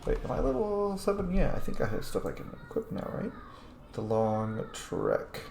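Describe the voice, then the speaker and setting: A man's voice: medium pitch, huge pitch swings, moderate pace, vocal fry, darkish tone, a little hiss or hum. A man giving casual, sweary commentary as he plays a video game. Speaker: gaming streamer. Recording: roomy echo, game music behind. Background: video game music.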